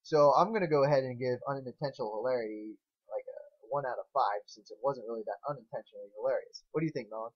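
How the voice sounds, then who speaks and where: A man's voice: medium pitch, fast talker. Lo-fi casual man reviewing, rambling and crude. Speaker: co-host reviewing fan fiction. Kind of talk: casual chat, opinionated review.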